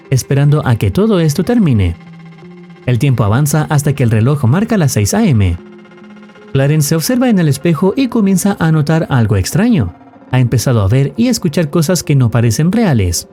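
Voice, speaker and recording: Guy reading a scripted story recap, formal and measured, soft mellow tone, pretty lively intonation. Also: fairly high pitch. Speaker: narrator of horror video recaps. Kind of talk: scripted narration. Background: music.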